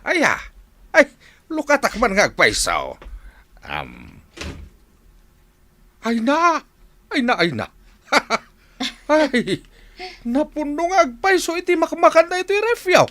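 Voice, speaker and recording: An actor playing an excitable, teasing old father in a comedic radio drama, full of exclamations. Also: very high voice, takes it slowly, pauses often, exaggerated voice. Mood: amazed. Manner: exclamatory, swooping delivery.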